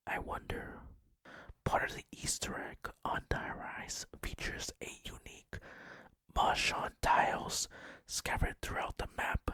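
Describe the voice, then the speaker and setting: A man whispering breathily and deliberately into a close microphone as he hosts an ASMR gaming commentary. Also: pushed and bright. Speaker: gaming video host.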